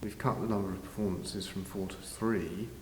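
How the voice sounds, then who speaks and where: Deep-voiced older Englishman in an echoey hall. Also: fairly even intonation, moderate pace, darkish tone. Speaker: interviewee, village pantomime organiser. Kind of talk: informal interview answers. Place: large village hall, indoors. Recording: very echoey hall, faint noise.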